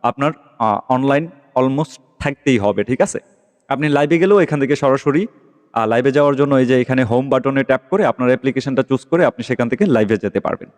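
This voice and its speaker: A man's voice: mid-range voice, quick pace, a little mellow. Echoey man giving a casual app tutorial. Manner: explanatory, often checks listeners follow. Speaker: tech tutorial host. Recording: long reverberant echo.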